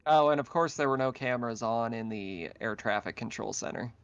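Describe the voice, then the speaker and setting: A man's voice: mid-range voice, brisk, gravelly, fairly bright tone, clean tone. A man casually narrating an online tabletop roleplaying game as game master. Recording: dull lo-fi online voice chat.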